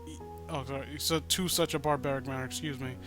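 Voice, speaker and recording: A man's voice: medium pitch, steady tone, quick pace, over music. A man reading a story aloud with comedic, exasperated commentary, swearing freely and stumbling over words. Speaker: host reading and riffing on a fan-written horror story.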